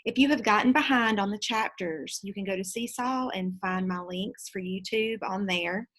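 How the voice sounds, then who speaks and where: A woman's voice: medium pitch, moderate pace, a bit bright. A woman teacher, reading a children's book aloud and explaining it to her pupils with reminders.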